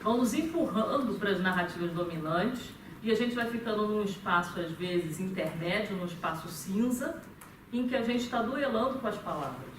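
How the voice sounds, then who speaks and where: A woman with a Brazilian Portuguese accent giving a thoughtful talk at a meeting, pausing often on fillers and restarting her phrases. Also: medium pitch, animated. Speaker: conference speaker. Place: meeting room. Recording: echoey room, far from the mic, noisy.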